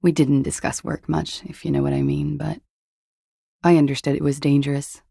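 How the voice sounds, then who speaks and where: An actress playing a jaded, hard-partying young disco dancer in a video game, husky and languid, with a guarded, deadpan delivery. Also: female voice, low-pitched, moderate pace, dry recording, clean studio sound, full character voice. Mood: guarded, flat. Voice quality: husky, gravelly with vocal fry. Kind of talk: video game voice acting, intimate dialogue.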